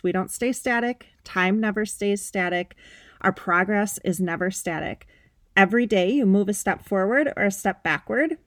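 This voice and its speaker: Woman, 30s, podcast host: emphatic, upbeat pep talk, mid-pitched, dry close sound. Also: dry recording. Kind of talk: motivational solo podcast talk.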